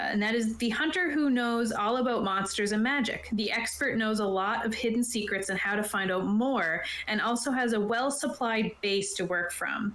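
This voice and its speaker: A woman's voice: mid-range voice, fairly bright tone. Woman explaining game rules in echoey room. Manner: informal, conversational. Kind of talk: casual explanation, partly reading from a rulebook. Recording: roomy, echoey sound.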